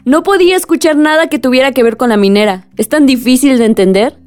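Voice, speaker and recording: A woman's voice: high-pitched, quick pace, darkish tone, smooth, soft background music, natural voice. Defiant young Mexican village woman played by an actress. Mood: firm, indignant. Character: grieving, defiant young village woman, daughter of a slain leader. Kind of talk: radio drama acting.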